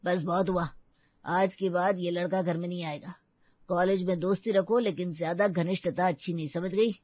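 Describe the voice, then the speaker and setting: Snobbish middle-aged father, done by a woman, stern, dismissive, low for a female voice, dry, story dialogue. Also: fairly even intonation, normal speed, no room sound, lo-fi sound, close to an everyday voice.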